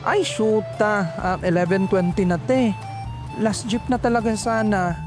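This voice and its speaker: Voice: mid-range voice, measured pace, music in the background, lightly acted. A performer playing a sassy, flamboyant call center agent who complains in dramatic slang. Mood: grumbling. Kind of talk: horror story dialogue.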